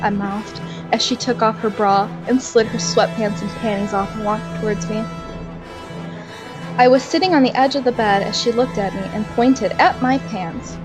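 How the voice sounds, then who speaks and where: A woman's voice: on the high side, fairly even intonation, moderate pace, over music, rough recording. A young woman reading fan fiction aloud in a mock-dramatic way and adding sarcastic, giggly comments between passages.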